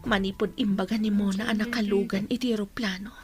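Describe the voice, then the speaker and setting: A woman's voice: mid-range voice, steady tone, quick pace, music in the background, lightly acted. A woman in a radio drama playing a worried, resentful mother, fretful and questioning. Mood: alarmed, worried. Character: worried mother, resentful of her sick husband. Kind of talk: radio drama acting in Ilocano.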